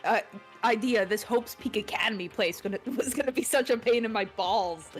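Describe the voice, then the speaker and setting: A woman's voice: fairly high pitch, normal speed, faint music behind, natural voice. Woman reading a swaggering young male delinquent. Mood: gruff bravado. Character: tough, foul-mouthed young male delinquent. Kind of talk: reading visual novel dialogue aloud.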